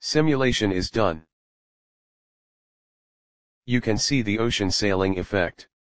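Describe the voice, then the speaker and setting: A man with a lowish voice reads a software tutorial voice-over in short, clipped commands, one step at a time. His sentences are plain and simple in grammar. The recording is dry and close, with no room sound. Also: unhurried, clean tone, no room sound.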